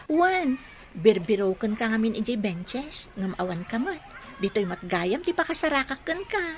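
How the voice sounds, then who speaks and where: A woman's voice: on the high side, very animated, moderate pace. An actress playing a hurt, earnest young female friend in a radio drama, plaintive and pleading. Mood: anxious. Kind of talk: Ilocano radio drama acting.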